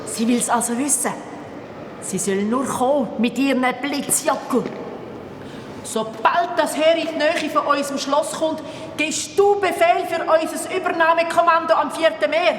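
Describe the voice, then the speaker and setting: A woman's voice: sits high, steady tone, normal speed, a little hiss or hum, lightly acted. A woman voicing a power-hungry female ruler who barks orders, in a Swiss German radio play. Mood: commanding. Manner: barking orders, imperious. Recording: echoey hall reverb.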